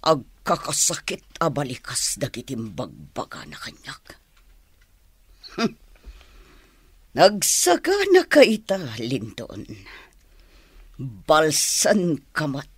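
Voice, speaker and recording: A vengeful schemer from a radio drama, bitter and brooding. His raspy voice sits fairly high and crawls along slowly, recorded very dry and close. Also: huge pitch swings, a bit bright, no room sound, heavily acted.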